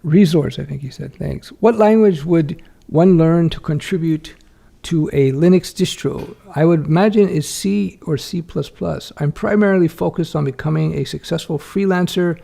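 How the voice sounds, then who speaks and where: A man's voice: fairly high pitch, normal speed, vocal fry, warm tone. A middle-aged man hosting a casual livestream Q&A, reading viewer questions aloud and answering frankly with lots of fillers and restarts. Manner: frank, chatty, many fillers and self-corrections.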